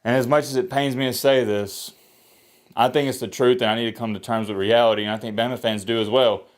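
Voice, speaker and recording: A man, rambling through casual sports commentary to his viewers with lively, rising emphasis and the odd sigh. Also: fast. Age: 20s. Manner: animated, rising pitch when emphatic, sighing. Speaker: sports commentator and fan vlogger.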